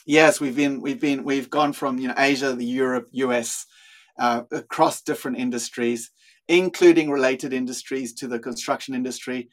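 A man's voice: medium pitch, fairly even intonation, moderate pace. A man with a South African accent, a guest in a podcast interview, speaking thoughtfully with frequent small restarts as he explains his views. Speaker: guest, leadership consultant.

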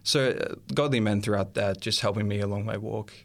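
A man sharing his faith testimony as an interview guest, earnest and reflective, pausing often as he thinks aloud. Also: on the low side, steady tone.

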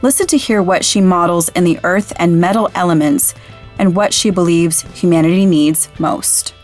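A woman's voice: lowish voice, normal speed, darkish tone, faint music behind. A woman hosting a podcast interview, talking in an enthusiastic, effusive way. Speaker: podcast host.

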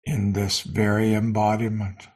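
A man teaching meditation, guiding a sitting in short, sparse phrases with long pauses between them. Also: on the low side, flat delivery, a little mellow. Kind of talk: guided meditation.

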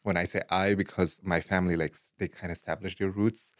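A young man from Afghanistan, a guest in a casual interview, talking in a relaxed way with many "like, you know" fillers and easy laughs. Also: deep voice, quick pace, dry recording, studio quality.

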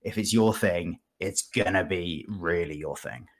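A man's voice: sits low, unhurried. A man chatting casually as a guest on a gaming podcast, explaining things in a jokey, rambling way.

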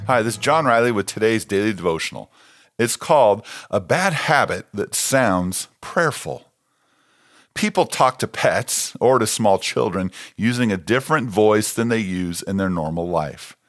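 A man's voice: fairly low pitch, expressive, unhurried, a bit bright, soft background music. An American man, a pastor, reading a scripted devotional.

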